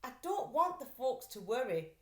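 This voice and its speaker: A woman's voice: sits high, big pitch swings, normal speed, voice pushed up. A woman voicing a sad, weary young boy in a children's storybook, plaintive and emphatic. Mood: miserable. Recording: roomy, echoey sound.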